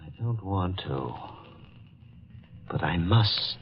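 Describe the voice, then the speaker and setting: An actor playing a sinister, bitter man who torments a woman with theatrical, deliberate taunts in an old-time radio melodrama. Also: low voice, expressive, dull audio, a little hiss or hum, heavily acted. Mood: crazed, brooding.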